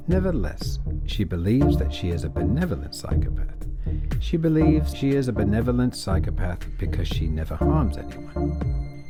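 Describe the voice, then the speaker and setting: A man reading scripted narration for an audio play in a measured, deliberate way. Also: on the low side. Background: music.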